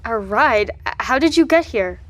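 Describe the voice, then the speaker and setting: A woman voicing a guarded archivist in an audio drama, quick to question and easily startled. Her voice sits high and bright above an otherwise plain delivery. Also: expressive, no room sound, close to an everyday voice. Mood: wary.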